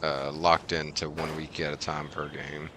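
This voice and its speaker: A man chatting casually on a gaming livestream in a laid-back, halting way. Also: rumbling low, level tone, noisy background. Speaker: variety game streamer. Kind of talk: casual livestream chat over gameplay.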